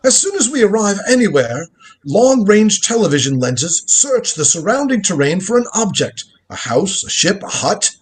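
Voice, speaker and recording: Man acting a husky, crotchety old inventor grandfather. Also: sits high, big pitch swings, normal speed, full character voice. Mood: proud, lecturing. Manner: imperious, theatrical, lecturing. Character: crotchety, proud old grandfather inventor, time traveller from the future. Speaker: lead actor in a live script reading. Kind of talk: live reading of a radio drama script.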